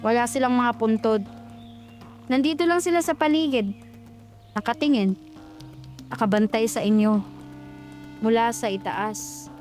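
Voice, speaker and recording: A woman's voice: fairly high pitch, unhurried, pauses often, faint music behind, lightly acted. A woman playing an eerie, cryptic village guide. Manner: calm, cryptic, coldly insistent. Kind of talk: audio horror drama.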